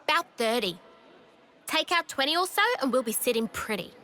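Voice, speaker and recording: A brash, bright-voiced young rebel leader. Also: female voice, on the high side, lively intonation, brisk. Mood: commanding. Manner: blunt, cocky, taunting. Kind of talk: video game voice acting. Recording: game music behind the voice.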